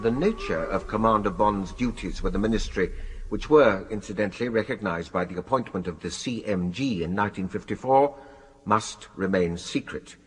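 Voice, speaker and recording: An actor playing a formal, solemn official who delivers a measured obituary in a radio drama. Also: male voice, lowish voice, breathy edge. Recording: long hall reverberation.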